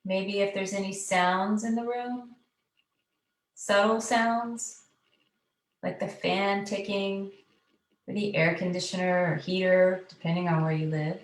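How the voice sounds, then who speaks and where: A woman leading a live guided meditation, giving soothing, unhurried, gently coaxing instructions. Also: medium pitch, steady tone. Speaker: guided meditation teacher, host. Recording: roomy echo, distant mic, loud music behind. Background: loud meditation music.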